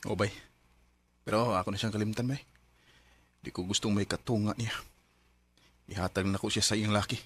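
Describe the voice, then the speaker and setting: A man's voice: lowish voice, steady tone, normal speed, long pauses, noisy background, lightly acted. A man with a Cebuano Filipino accent playing an earnest, trusting young boyfriend in a Bisaya radio drama. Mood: resigned.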